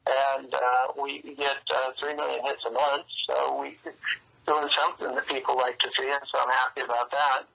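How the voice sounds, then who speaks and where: Male interview guest, bright forward voice, halting explanatory delivery, telephone-style sound. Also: medium pitch, flat delivery, creaky, rough recording. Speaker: interview guest, comics writer and news site publisher. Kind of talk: interview conversation.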